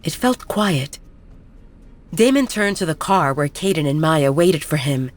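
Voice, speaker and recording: A woman delivers scripted narration for a romance audio story. Her voice is pitched low for a woman, and she acts as the storyteller. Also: expressive, a little slow, soft background music.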